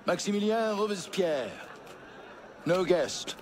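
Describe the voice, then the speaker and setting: A man's voice: high voice, animated, slow, a little hiss or hum. An actor playing a stern, officious middle-aged palace doorman in a game, announcing formally and curtly. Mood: curt, official. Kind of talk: video game cutscene acting.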